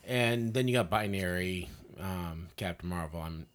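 A man's voice: low-pitched, measured pace, a bit bright. A man on a podcast panel discussing films casually, rambling a little and feeling his way through his points.

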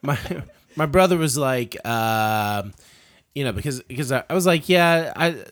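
Halting man with very animated pitch. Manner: halting, often repeats words. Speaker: podcast panelist. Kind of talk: casual movie review discussion.

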